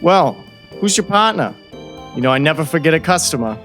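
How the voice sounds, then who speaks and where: A man's voice: on the high side, lively intonation, a little fast, fairly bright tone, faint music behind. A man playing a chatty, nosy small-town shopkeeper in an audio drama, with a folksy, overfriendly salesman's manner. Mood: gossipy. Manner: overly forthright, folksy, salesman-like.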